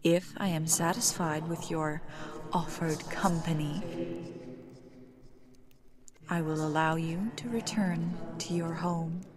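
A woman playing an aloof, possessive deity of death who speaks deliberately and imperiously in a scripted roleplay monologue. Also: deep voice, steady tone, music in the background. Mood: commanding. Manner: slow, deliberate, imperious, with long pauses.

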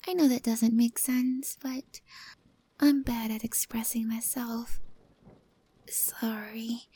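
A woman voicing a shy, timid young stray cat girl in an ASMR roleplay, talking softly and hesitantly, often in a whisper. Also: a little high, level tone, slow, breathy edge. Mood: soft, tender.

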